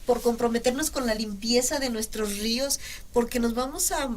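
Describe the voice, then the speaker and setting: A woman's voice: fairly high pitch, normal speed, a little hiss or hum. A woman journalist from Veracruz, speaking earnestly as an interview guest and repeating words for emphasis. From Veracruz, Mexico. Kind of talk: conversational interview.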